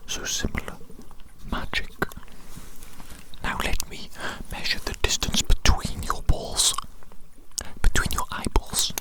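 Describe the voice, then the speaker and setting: An eccentric evil doctor, acted out by an ASMR performer, speaks entirely in a breathy whisper. The delivery dotes on a tiny specimen, murmuring and repeating phrases in a medical roleplay. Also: slow, pauses often, natural voice, low-quality recording. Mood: doting, fascinated.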